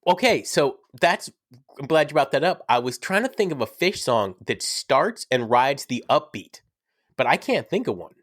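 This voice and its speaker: A man's voice: mid-range voice, sing-song, moderate pace, dry recording, studio quality. Sick young guy cohosting a podcast, chatty, rambly, teasing his buddy. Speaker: podcast co-host. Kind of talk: casual fan chat.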